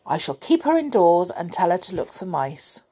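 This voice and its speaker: A woman's voice: lowish voice, moderate pace, husky. A woman doing Jinky, an eager little village man. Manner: excited, lively.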